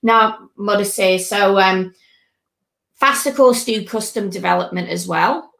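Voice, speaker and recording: A woman's voice: mid-range voice, measured pace, gravelly, fairly bright tone. A woman hosting a chat on a video call with a headset, talking in a chatty, conversational way. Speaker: host. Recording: echoey room audio.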